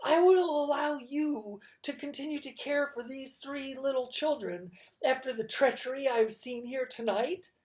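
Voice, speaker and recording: A woman's voice: high voice, measured pace, few pauses, a little mellow, pitched above the performer's own voice. A woman voicing a fussy, exasperated middle-aged male banker who speaks in a raised, harsh tone.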